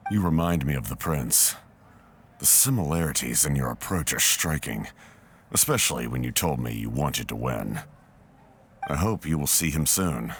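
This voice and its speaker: Game role: stern, imposing officer, rock-bottom pitch, formal and deliberate. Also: male voice, lively intonation, a little hiss or hum. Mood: solemn, courteous. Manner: formal, measured. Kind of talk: video game voice acting.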